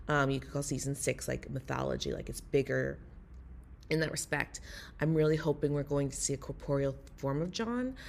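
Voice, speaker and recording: A woman's voice: low voice, creaky, a little hiss or hum. A woman recording a listener voice message of enthusiastic, earnest fan commentary. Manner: excited, earnest.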